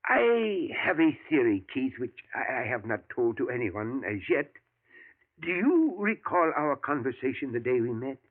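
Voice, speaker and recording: An actor playing an elderly male professor, a thoughtful scientist who talks in a measured way and chuckles often, in a 1940s radio drama. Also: medium pitch, big pitch swings. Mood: confiding. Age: older. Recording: tinny lo-fi old radio broadcast.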